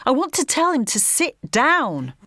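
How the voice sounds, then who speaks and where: A woman's voice: high voice, wildly swooping pitch, a little slow, a bit bright, no room sound, heavily acted. An actress playing a weary mother and protest organiser with an English accent, narrating confidingly in first person for an audio drama. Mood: troubled. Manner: confiding, present-tense storytelling.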